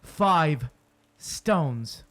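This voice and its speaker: A high, raging, commanding sheriff. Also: male voice, expressive, drawn-out words, exaggerated voice. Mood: stern, demanding. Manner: commanding, declamatory, shouting demands. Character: cruel, raging sheriff at a witch-trial execution. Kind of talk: dramatic audio drama acting.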